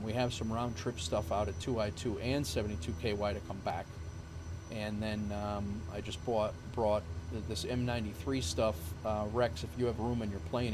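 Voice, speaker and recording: Man streamer rambling with fillers, low voice. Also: background noise. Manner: rambling, full of fillers and restarts. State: losing his voice. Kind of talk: casual live-stream sign-off chat.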